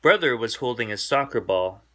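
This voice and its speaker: Male storybook narrator, bright forward voice, expressive bedtime read-aloud for young children. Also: mid-range voice, moderate pace.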